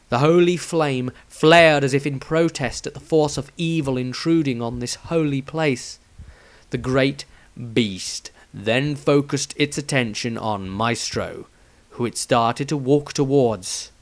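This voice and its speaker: A man's voice: a little high, fairly bright tone. Man dramatically narrating a fantasy story. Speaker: author reading own fantasy story.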